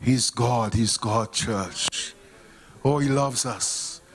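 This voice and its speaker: A middle-aged man with a Vincentian accent preaching a sermon in a church, speaking into a handheld microphone, swinging from hushed appeals to loud exclamations. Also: mid-range voice, extremely slow. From Saint Vincent and the Grenadines. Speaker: pastor. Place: church sanctuary stage.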